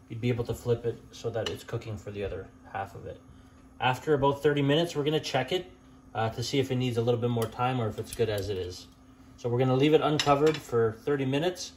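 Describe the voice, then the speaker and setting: A young man explains a recipe as he cooks, in a casual, unhurried voice of ordinary pitch. He corrects himself now and then and fills pauses with small "uh"s. Faint kitchen noise and frying hum sit behind him. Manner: relaxed and plain-spoken, with frequent "uh" and "um" fillers and small self-corrections. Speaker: home cooking channel host. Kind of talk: step-by-step cooking instruction. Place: home kitchen at the stove.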